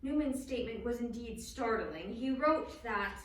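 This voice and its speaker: In a very reverberant space, a woman delivers scripted narration for a dramatic reading. Her voice is fairly high and sounds far from the microphone, with faint room noise. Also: expressive, normal speed. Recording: distant echoey hall, faint room noise.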